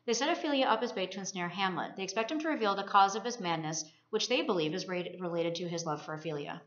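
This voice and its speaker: A woman's voice: medium pitch, expressive, quick pace, fairly bright tone. A woman, a college student presenting, reads aloud a scripted discussion of literature. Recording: roomy, muffled lo-fi recording.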